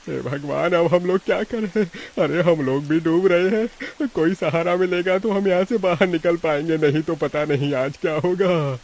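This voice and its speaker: Worried village father, acted by a man, higher-pitched. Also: normal speed, few pauses, a little mellow, close to an everyday voice. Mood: alarmed. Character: worried, resourceful father of poor village family.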